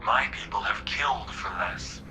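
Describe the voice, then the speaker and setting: An actor playing a menacing, imperious alien emissary in a video game, formal and condescending, through a radio filter. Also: male voice, mid-range voice, moderate pace, bright, forceful tone, soft background music, poor audio. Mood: cold warning. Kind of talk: scripted video game acting.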